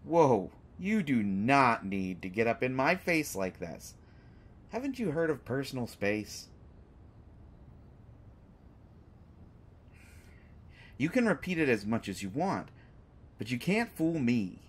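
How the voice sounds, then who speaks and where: A man acts out a cheeky, unafraid male hostage in a superhero audio roleplay. His mid-pitched voice swoops up and down, dripping with sarcasm and teasing, exaggerated mock reactions. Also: very animated, a little slow, breathy edge. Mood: taken aback, teasing. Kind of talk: scripted roleplay audio drama monologue.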